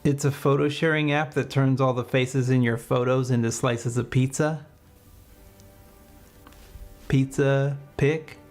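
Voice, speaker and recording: A man acting an agent in a comedy sketch, deadpan and matter-of-fact. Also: mid-range voice, a little slow, long pauses, faint music behind.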